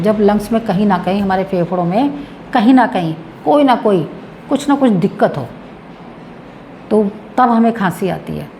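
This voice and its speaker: Woman doing a health tips demo, mid pitch, explains slow and repeats stuff, faint room hiss. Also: a little mellow. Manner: explains with repetition while demonstrating. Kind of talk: demonstration lesson.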